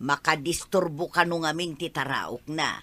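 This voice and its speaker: A woman's voice: sits low, lively intonation, normal speed, fairly bright tone. A woman with an Ilocano accent acting a feisty, scolding village wife in a comedy drama. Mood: apologetic, frank. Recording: outdoor noise with roosters and hens.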